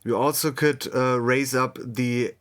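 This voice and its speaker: A man narrates an unscripted music software tutorial at a slow pace. He is a native German speaker with a mid-pitched voice, thinking aloud in a halting way as he demonstrates. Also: fairly even intonation. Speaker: music production tutorial host.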